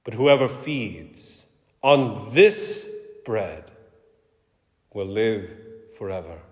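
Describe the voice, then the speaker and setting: Guy playing weighty authoritative teacher from scripture, really drawn out, pitch soaring and dropping, long echo. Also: expressive, a little mellow. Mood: solemn, fervent. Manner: declamatory, weighty, deliberate. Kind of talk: dramatic scripture reading. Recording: echoey hall with long reverb.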